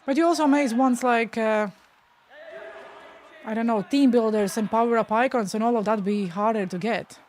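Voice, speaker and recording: A woman's voice: on the high side, steady tone, normal speed, a little mellow, slight background noise. A young woman in her twenties from Latvia, speaking with a Latvian accent, chatting casually and frankly with her live-stream viewers, often trailing off mid-word.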